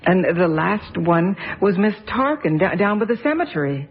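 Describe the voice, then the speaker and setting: A woman playing a gentle, worried mother in a radio drama, soothing and gently reproving. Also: on the low side, sing-song, moderate pace, breathy edge, full character voice. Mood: consoling. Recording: muffled audio with faint music.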